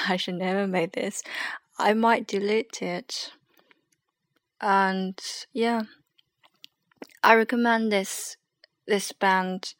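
A woman's voice: mid-range voice, steady tone, extremely slow, pauses often, no echo, clean studio sound. Sleepy young woman with a Chinese accent. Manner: hesitant, rambling, soft and sleepy. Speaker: podcast host. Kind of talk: late-night solo podcast chat.

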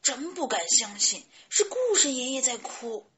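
A woman voicing eager, excitable little chicks with childlike, exclaiming speech. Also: moderate pace, highs cut off. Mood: concerned. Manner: exclaiming, high-spirited.

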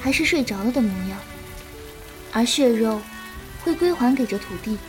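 A woman playing a gentle, compassionate young martial-arts disciple who explains patiently in a Chinese period audio drama. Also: fairly high pitch, animated, normal speed, faint music behind, close to an everyday voice. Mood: gentle, consoling.

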